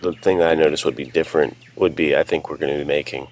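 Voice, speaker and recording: A man's voice: very deep voice, fairly even intonation, quick pace. A man co-hosting a podcast, talking in an informal, conversational way. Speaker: podcast co-host. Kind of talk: casual podcast chat.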